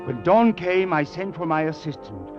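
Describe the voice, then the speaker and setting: A troubled, fatalistic commissioner, a little high in pitch with dramatic swings. He broods intensely in old radio style. The muffled vintage recording has music behind it. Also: sing-song, moderate pace. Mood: brooding, awed. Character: troubled district commissioner, fascinated by danger, fatalistic. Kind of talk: 1940s-50s radio drama narration and acting.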